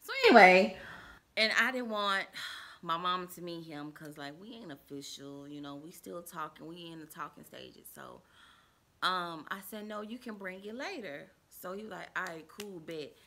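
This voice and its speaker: Gravelly woman rambling through a storytime. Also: on the low side, normal speed, pushed and bright. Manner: rambling, full of fillers and asides to viewers; acts out quoted dialogue in a raised voice. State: stuffy and under the weather. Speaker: vlogger telling a personal story. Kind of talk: casual storytime chat.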